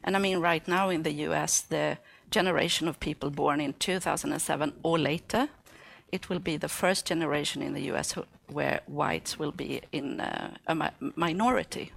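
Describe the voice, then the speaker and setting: Industry panelist, mid-pitched, creaky and gravelly, assured. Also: moderate pace, fairly bright tone. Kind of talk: panel discussion.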